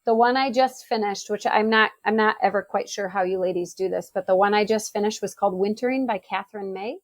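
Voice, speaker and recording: A middle-aged woman with an American accent talks in a casual, conversational way about books. Her voice sits at a medium pitch, and the recording is dry with very little room sound. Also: a little fast, no room sound. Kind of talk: casual book chat.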